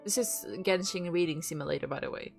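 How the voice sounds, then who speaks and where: Woman streamer w/ a stuffy nose, reading game lines out loud, swears a bit, faint music behind. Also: fairly low pitch, fast talker, vocal fry. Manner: reads lines aloud, swears and laughs between. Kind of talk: casual game stream, reading dialogue aloud.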